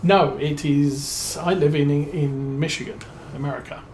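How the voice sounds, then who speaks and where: A man with a British accent giving relaxed let's-play commentary as a streamer, musing and thinking aloud with plenty of fillers. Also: a little high, measured pace, slight background noise. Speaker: game streamer.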